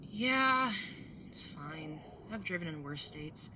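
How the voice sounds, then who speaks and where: A woman's voice: low-pitched, expressive, slow pace, noisy background. A woman playing a laid-back, blunt older sister in her young adulthood, casual and teasing, in a naturalistic audio drama. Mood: groggy, easygoing. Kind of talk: naturalistic audio drama dialogue and first-person narration.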